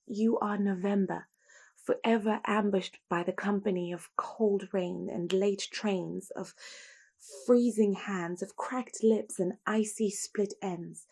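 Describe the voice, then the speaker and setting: A woman performing a poetic dramatic monologue with deliberate, emphatic phrasing. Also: mid-range voice, fairly even intonation, vocal fry, darkish tone.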